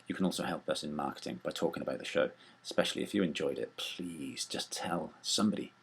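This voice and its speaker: Gravelly, low-voiced man making an informal appeal. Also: quick pace, a little hiss or hum. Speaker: theatre company presenter making an appeal.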